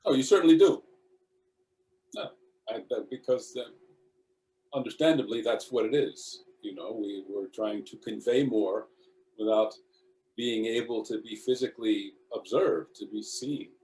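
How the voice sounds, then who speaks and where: A man speaking as an actor on a panel, reflective and measured, correcting himself as he goes. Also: on the low side, mellow, a little hiss or hum, low-quality recording.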